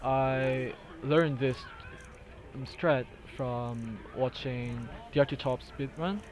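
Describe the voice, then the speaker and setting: A man from Korea with a Korean accent, casually talking through a video game glitch, halting and searching for words in English. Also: medium pitch, fairly even intonation. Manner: halting, with fillers and restarts, laughs now and then. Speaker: gamer recording a tutorial. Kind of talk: casual gameplay commentary. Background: game music and sounds.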